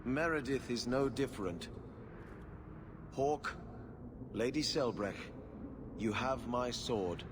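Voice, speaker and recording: An actor playing a proud, resolute nobleman in a video game, speaking formally and gravely. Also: medium pitch, takes it slowly, pauses often, background noise, natural voice. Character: proud, resolute nobleman pledging his sword. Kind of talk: video game character acting.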